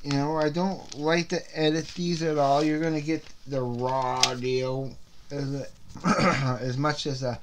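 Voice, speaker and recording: A man's voice: fairly high pitch, little pitch movement, slow pace. A man hosting his own CD review series, chatting casually and rambling, repeating words and restarting sentences, with a slightly scratchy throat. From Canada. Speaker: host of a CD review video series. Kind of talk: casual unscripted music album review.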